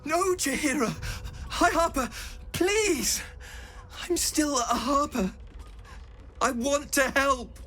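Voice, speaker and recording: Nervous young recruit, slow-spoken. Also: male voice, extremely high pitch, sharp tone, slight background noise, heavily acted. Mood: desperate pleading. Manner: hesitant, deferential, faltering. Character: earnest, nervous young male recruit scout. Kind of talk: dramatic video game acting.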